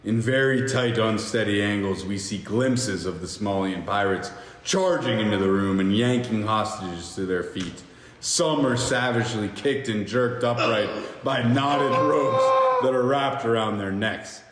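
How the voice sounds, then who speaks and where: A man hosting a podcast, reading screenplay stage directions aloud in a halting, jokey amateur way with stumbles. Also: lowish voice, fairly bright tone. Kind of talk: amateur screenplay table read. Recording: echoey reverberant room with faint hiss.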